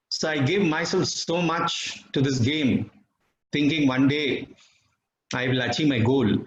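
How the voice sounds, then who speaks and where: A middle-aged man from Bangalore with an Indian English accent and Tamil as his mother tongue, giving a reflective, measured personal testimony with pauses. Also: mid-range voice. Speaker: devotee sharing experiences. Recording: dull, slightly roomy recording with faint noise.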